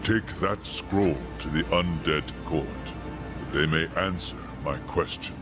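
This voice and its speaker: Battle-seasoned angel sentinel for a fantasy game: deep, measured, formal, solemn, music behind him. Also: male voice. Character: solemn male angel warrior guarding an outpost of light.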